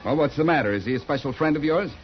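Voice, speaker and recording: A man's voice: on the high side, expressive, very fast, natural voice. Officious government man played by a male actor, muffled recording. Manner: prim, insistent, crisp wording. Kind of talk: 1950s radio drama acting. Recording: dull lo-fi 1950s radio recording.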